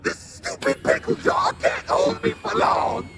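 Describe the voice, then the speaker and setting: An actor playing a gloating, raging ghost villain in a video game, with theatrical threats and a gravelly voice. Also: male voice, very high-pitched, a little slow, very noisy, heavily acted. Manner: theatrical, taunting, bombastic. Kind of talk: video game cutscene acting.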